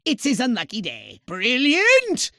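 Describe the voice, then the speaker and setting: A man's voice: very high voice, wildly swooping pitch, unhurried, bright, forceful tone, dry recording, studio quality, heavily acted. A man voicing a scheming, pompous alien commander in a video game, imperious, sarcastic and melodramatic as he gives orders. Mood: gleefully sinister. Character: shrill, bossy, scheming alien commander giving mission orders. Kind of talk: theatrical video game character acting.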